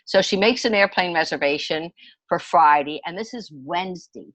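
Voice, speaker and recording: A woman's voice: fairly low pitch, moderate pace, a bit bright, dry recording. A woman in her sixties telling personal stories in a chatty, emphatic, conversational way as a podcast guest. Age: 60s. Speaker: podcast guest, homeopathy enthusiast.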